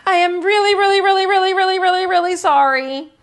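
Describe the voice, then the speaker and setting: A woman voicing an excitable, dramatic little schoolgirl mouse in a picture book, with a theatrical and emphatic delivery. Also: very high voice, quick pace, pitched above the performer's own voice. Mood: pleading apology.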